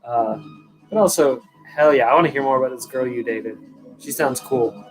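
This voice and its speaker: A man's voice: mid-range voice, moderate pace, faint music behind, poor audio. A man in his thirties from California, hosting a live stream and telling a long story in a loose, rambling, foul-mouthed way while answering his chat. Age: 30s. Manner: profane, rambling, chatty, answers viewers' chat.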